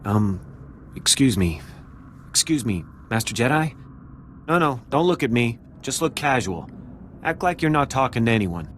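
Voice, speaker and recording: An actor playing a nervous, jumpy security officer in a video game, with an anxious, hesitant way of talking. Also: male voice, mid-range voice, sing-song, normal speed, pauses often, slight background noise. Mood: jittery. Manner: hesitant, anxious, hushed urgency. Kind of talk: video game character acting.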